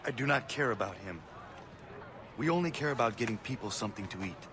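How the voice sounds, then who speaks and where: An actor playing a stern, single-minded warrior in a game, speaking tersely and formally. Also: male voice, lowish voice, expressive, fairly quick, background noise, natural voice. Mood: curt. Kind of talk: scripted game drama dialogue.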